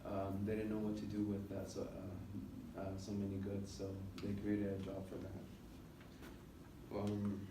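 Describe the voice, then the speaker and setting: A young man from the United States giving an informal student presentation in a classroom, speaking haltingly with many filled pauses. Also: low voice, completely flat delivery. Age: young adult. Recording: roomy, noisy classroom recording from a distance.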